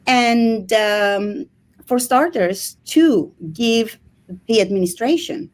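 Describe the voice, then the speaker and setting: A woman from Greece in her thirties with a Greek accent, a history lecturer speaking in an interview with many pauses and ums. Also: fairly high pitch. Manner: hesitant, many ums and restarts. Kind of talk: casual interview talk.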